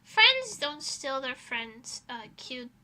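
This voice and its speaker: A woman chatting casually on a livestream in a playful, rambling way with animated asides, a native Portuguese speaker. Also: high-pitched, slow. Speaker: streamer.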